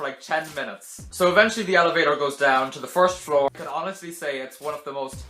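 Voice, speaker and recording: A young man telling a casual vlog story to camera in a dramatic, animated way, with sarcastic, self-deprecating asides. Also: fairly high pitch. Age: young adult. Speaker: video blogger telling a personal story. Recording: roomy echo.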